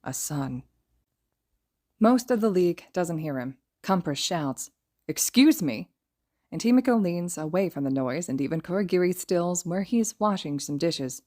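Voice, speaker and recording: A woman narrating a fan fiction audiobook in steady, even reading. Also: fairly low pitch, moderate pace, hardly any pauses. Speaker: podfic reader.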